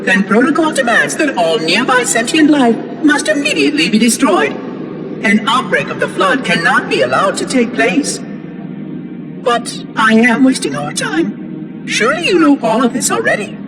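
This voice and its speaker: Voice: high-pitched, wildly swooping pitch, moderate pace, full character voice, low-quality recording. An actor voicing a prim, fussy ancient AI monitor in a video game, with pompous, precise diction and a synthetic edge. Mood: exasperated, insistent. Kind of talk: video game cutscene acting. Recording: music behind the voice.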